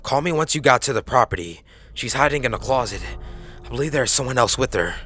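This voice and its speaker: A man's voice: medium pitch, lively intonation, fast, sharp tone, faint music behind, pitched above the performer's own voice. A man voicing an anxious but stern female emergency dispatcher, urgent and reassuring, in a horror story. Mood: tense. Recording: faint background noise.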